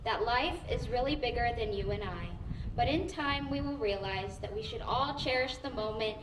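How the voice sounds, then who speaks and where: A woman in her late teens softly reciting graduation advice, heard through a distant, echoey sound system with loud noise. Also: fairly high pitch, normal speed. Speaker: graduating student speaker.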